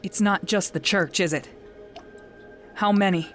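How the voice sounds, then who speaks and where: A woman's voice: medium pitch, fairly even intonation, faint music behind, lightly acted. A woman playing a firm warrior sister narrating. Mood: calm firm. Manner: measured, firm first-person narration. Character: battle-hardened woman, a warrior sister, returning home. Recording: rain ambience behind the voice.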